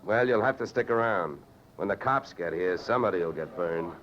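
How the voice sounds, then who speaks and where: An actor with an American accent playing a hard-boiled, sardonic insurance investigator in vintage radio drama, delivering deadpan wisecracks. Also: male voice, deep voice, moderate pace, close to an everyday voice. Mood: dry, sardonic. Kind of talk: 1940s-50s radio detective drama, narration and dialogue. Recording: dull vintage radio recording with music.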